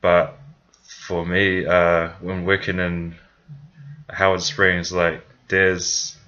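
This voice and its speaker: A man's voice: low voice, flat delivery, very slow, pushed and bright, dull audio. A young man from New Zealand with a New Zealand accent, telling a personal story in a rambling, casual way, full of fillers and restarts. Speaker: vlogger.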